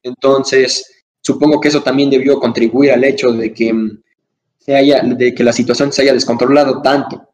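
A man's voice: mid-range voice, steady tone, normal speed, a little mellow, poor audio. A young man hosting a podcast interview, asking long rambling questions with fillers and restarts. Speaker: podcast host. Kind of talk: casual interview.

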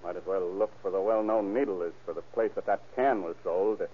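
An actor playing a shrewd, commanding police chief in an old-time radio crime drama. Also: male voice, low-pitched, a little fast, breathy edge. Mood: brisk, commanding. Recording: narrow, tinny vintage radio recording.

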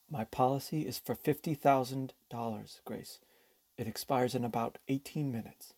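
Woman as despairing family man, very deep, dark husky voice, subdued, radio drama. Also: normal speed, no room sound, studio quality. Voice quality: low, husky. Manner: grave, subdued, resolute. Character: defeated, weary husband and father, quietly desperate.

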